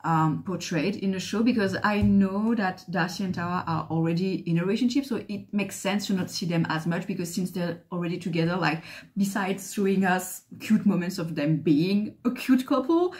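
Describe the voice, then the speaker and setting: A woman's voice: on the low side, moderate pace, few pauses, a little mellow. A young woman, not a native English speaker, gushing and swearing through her reaction at home, recorded by webcam. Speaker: trailer reactor. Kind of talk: excited reaction commentary. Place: living room with bookshelves. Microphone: webcam at desk, headphones on.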